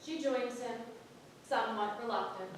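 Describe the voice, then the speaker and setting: A woman narrating stage directions at a staged play reading, in a measured, wry way. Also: on the high side, lightly acted. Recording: echoey theatre, distant mic, clear background noise.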